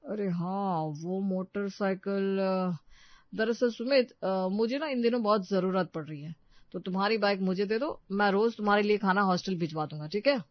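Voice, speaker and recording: A woman's voice: medium pitch, moderate pace, no room sound, highs cut off, natural voice. A woman voicing a grudging, calculating married brother-in-law in a cartoon story, talking hesitantly. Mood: sly, hesitant.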